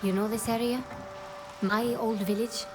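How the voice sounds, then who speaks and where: A woman's voice: fairly high pitch, fairly even intonation, unhurried, close to an everyday voice. An actress playing a self-reliant, dryly sceptical young Indian woman adventurer in a video game, speaking tersely and warily. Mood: guarded, dry. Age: young adult. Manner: terse, dry, wary. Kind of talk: video game cutscene acting. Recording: music behind the voice.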